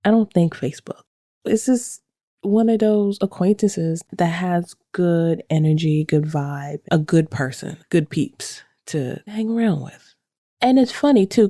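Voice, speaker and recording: A woman's voice: lowish voice, dark tone, no room sound, studio quality. A woman hosting a podcast, talking in an upbeat, conversational way. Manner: upbeat, conversational, laughs along. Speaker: podcast host. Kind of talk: podcast intro and interview.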